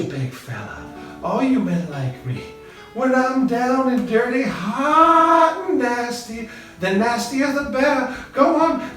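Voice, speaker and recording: A man voicing a loud, bawdy young frontier woman with a sassy, drawling backcountry way of talking. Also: high-pitched, voice pushed up. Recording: roomy echo, distant mic, faint guitar music.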